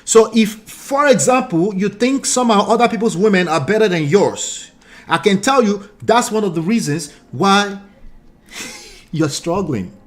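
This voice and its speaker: A man's voice: sits high, lively intonation, measured pace. Nigerian man reacting emphatically to comments. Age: 40s. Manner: emphatic, repeats points, asks pointed rhetorical questions. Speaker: relationship commentary host.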